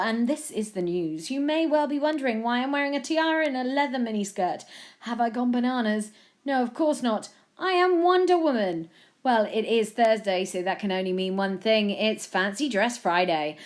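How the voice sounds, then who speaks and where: A woman's voice: mid-range voice, pitched above the performer's own voice, close to an everyday voice. A woman voicing a chirpy, pompous male TV newsreader in a comic story, declaiming a mock news bulletin.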